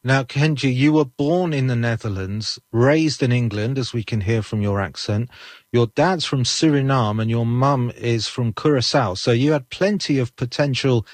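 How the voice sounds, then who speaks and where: A man interviewing as a sports reporter, asking measured, prepared questions. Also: mid-range voice, no room sound.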